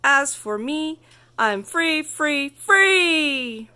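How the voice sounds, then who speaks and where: A woman's voice: high voice, animated, drawn-out words, sharp tone, voice pushed up, heavily acted. A woman voicing a boastful, carefree male jackrabbit in a children's storybook, bragging in sing-song exclamations. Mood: teasing, boastful.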